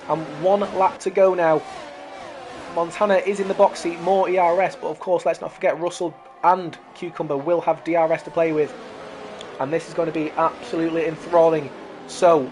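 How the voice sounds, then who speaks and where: Hoarse race commentator, worn-out voice, live play-by-play, excitable, calls viewers guys. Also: mid-range voice, a little hiss or hum. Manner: excitable, builds up during close battles. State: hoarse, losing voice. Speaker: sim racing commentator.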